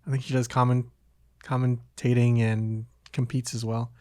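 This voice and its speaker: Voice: mid-range voice, level tone, moderate pace, a little mellow, no echo, studio quality. A podcast host chatting casually, with hesitant, tentative phrasing.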